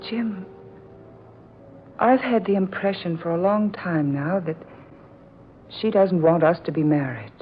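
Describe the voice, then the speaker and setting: An actress playing a frightened, distressed young fiancée in an old-time radio drama, speaking hesitantly and urgently. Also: female voice, on the low side, measured pace, pauses often, natural voice. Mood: anxious, insistent. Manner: hesitant, urgent, earnest delivery with repeated words. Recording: dull, noisy old radio recording.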